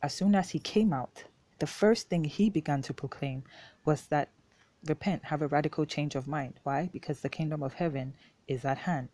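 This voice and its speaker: Podcast host from ghana, twi native speaker, mellow soft-edged voice, just casually talking faith, repeats stuff to drive it home. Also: mid-range voice, normal speed, dry recording. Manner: informal, explanatory, repeats points for emphasis. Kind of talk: casual faith talk, unscripted.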